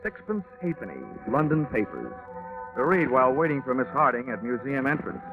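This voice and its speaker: Thin vintage radio sound, music, sleepy wisecracking investigator, deadpan, flirtatious, 1940s detective drama. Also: male voice, medium pitch, brisk, phone-quality sound. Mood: wry narration. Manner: wry, deadpan. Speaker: narrator and lead detective character.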